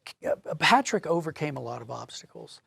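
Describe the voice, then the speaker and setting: Guy guest on an interview, lots of vocal fry, a bit higher pitched, thoughtful, conversational. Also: animated, normal speed, fairly bright tone, no room sound, clean studio sound. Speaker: interview guest, audio drama writer.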